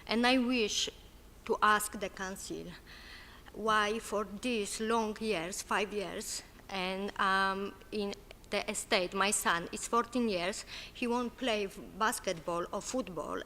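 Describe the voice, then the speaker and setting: A woman questions a council in halting second-language English, stopping often to search for words. Her pitch sits in the middle range. The council chamber rings with long reverb under a faint hiss. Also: a bit bright. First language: not English. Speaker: resident asking a question. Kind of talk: public question at a council meeting.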